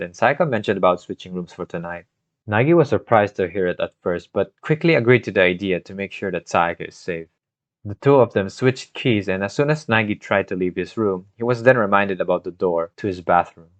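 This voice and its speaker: Man, low voice, storytelling game recap narration, dramatic phrasing, muffled lo-fi mic. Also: no echo. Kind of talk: scripted story recap narration with personal asides. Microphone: home microphone, scuffed in parts. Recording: dry, dull lo-fi home microphone.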